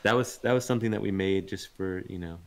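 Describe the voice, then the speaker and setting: Guy with a super deep low voice, chatting casually in an interview, loose and informal. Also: quick pace, clean tone. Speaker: interview guest, voice actor and audio drama producer.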